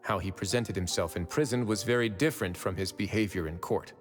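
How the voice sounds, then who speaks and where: A man playing a wry, self-assured prison warden with a lowish voice that speaks calmly and dryly. A long reverb trails his words. Light game music sits behind him. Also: fairly quick, natural voice. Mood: explaining. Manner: calm, dry, confident. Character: calm, wry male prison administrator. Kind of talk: video game voice acting.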